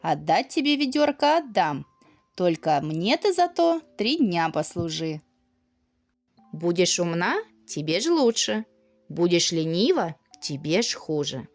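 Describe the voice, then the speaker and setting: Storybook role: grandfatherly old frost spirit, played by a woman, lively intonation, gently commanding, soft music behind. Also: lowish voice, a little slow, pitched below the performer's own voice. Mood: firm, knowing.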